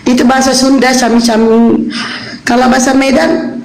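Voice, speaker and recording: Woman host, brisk and direct, slightly high voice, echoey room. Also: level tone. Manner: brisk, calls listeners up to speak. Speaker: live stream host moderating a debate. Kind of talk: casual live stream moderating.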